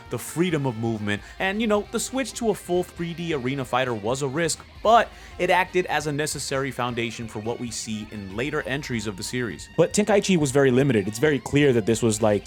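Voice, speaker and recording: A man with a hoarse, sick voice giving a blunt, excitable review of video games. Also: mid-range voice. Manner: excitable, blunt, with playful outbursts. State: hoarse from illness. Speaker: gaming video creator. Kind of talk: opinionated tier list review.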